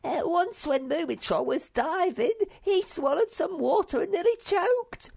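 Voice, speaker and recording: A woman voicing a small, excitable male creature in a storybook reading, speaking in an eager, boastful way. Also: very high-pitched, unhurried, vocal fry, voice pushed up, full character voice. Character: high squeaky excitable little male creature.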